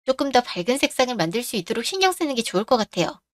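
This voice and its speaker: A woman's voice: on the high side, very animated, racing, dry recording, studio quality. A woman voicing a polite, upbeat young female shop employee in a radio drama. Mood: chatty.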